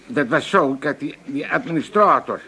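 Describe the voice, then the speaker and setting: A man's voice: a little high, animated, moderate pace, pushed and bright. An older man with an Amsterdam accent playing a wily, self-pitying old father in a comic radio drama, blustering and repeating himself. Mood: evasive.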